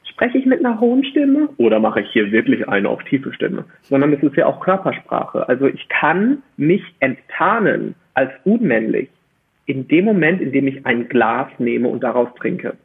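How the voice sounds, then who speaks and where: A man's voice: medium pitch, sing-song, normal speed, gravelly, husky. A man speaking as a podcast guest, explaining his views emphatically and articulately, with rhetorical questions. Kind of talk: conversational interview, explaining opinions. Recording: muffled phone-like band-limited line.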